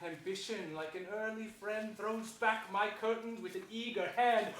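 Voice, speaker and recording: A scheming would-be king, pitched high and declaiming in theatrical Shakespearean fashion. He is ambitious and falsely pious, and his words ring around an echoey theatre. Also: steady tone, a bit bright, a little hiss or hum. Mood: gloating ambition. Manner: theatrical, slow, emphatic. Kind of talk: declamatory Shakespearean stage acting. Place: theatre stage before an audience.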